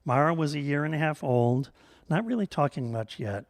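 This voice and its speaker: A man reads a scripted story aloud with gentle humor and measured phrasing. His voice is a little higher than most men's, and the sound is dry with little room echo. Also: lively intonation.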